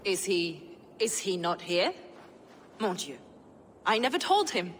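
Smug zealot villainess, slow mocking delivery, echoing hall, game cutscene. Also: female voice, lowish voice, very animated, a little hiss or hum. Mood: mock-genteel. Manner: mocking, theatrical. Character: smug, fanatical female villain, mockingly genteel. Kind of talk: dramatic video game acting.

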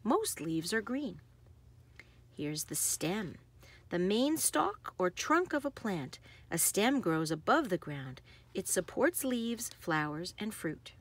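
A woman reads a children's picture book aloud expressively, with deliberate phrasing and emphasis on action words. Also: medium pitch, big pitch swings, breathy edge. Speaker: read-aloud host.

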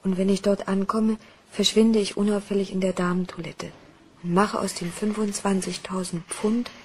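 An actress playing a nervous, hesitant young secretary, earnest and uneasy, in a crime radio play. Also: female voice, mid-range voice, flat delivery, moderate pace, a little mellow, slight background noise, close to an everyday voice. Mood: careful, compliant.

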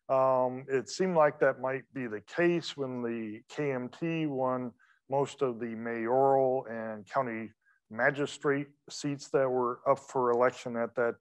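A middle-aged man giving an academic lecture from a podium microphone in a large wood-paneled hall, talking in a relaxed, conversational way with many fillers and quick asides. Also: medium pitch, smooth. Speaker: guest lecturer.

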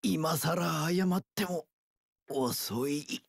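An actor voicing a brash, rough-talking young pirate warlord in a video game, gravelly, with delinquent gang-boss speech and drawn-out battle cries. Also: male voice, on the high side, dry recording, clean studio sound, exaggerated voice. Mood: low, brooding. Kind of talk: video game character voice lines.

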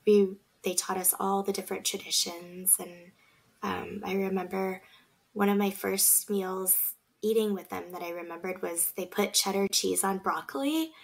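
A woman from Vietnam telling her family story in a relaxed podcast interview. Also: mid-range voice, normal speed, vocal fry, low-quality recording. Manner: earnest, reflective storytelling. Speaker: podcast guest, food business owner. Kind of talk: casual interview talk.

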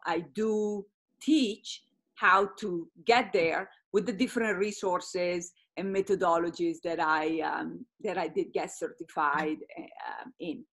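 A middle-aged woman with an Italian accent, speaking as a guest coach on a video call, enthusiastic and quick to laugh. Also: fairly low pitch. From Italy. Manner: enthusiastic, laughs often, repeats words. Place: home room with brick fireplace.

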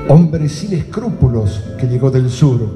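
A man playing a solemn storyteller, narrating live radio theatre with dramatic pauses. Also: medium pitch, expressive, close to an everyday voice. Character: solemn storyteller of a gaucho legend. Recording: echoey hall with loud music.

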